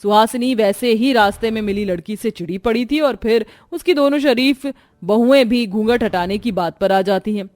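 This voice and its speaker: A woman's voice: on the high side, darkish tone, dry recording. A woman reading scripted narration for a moral story as its narrator.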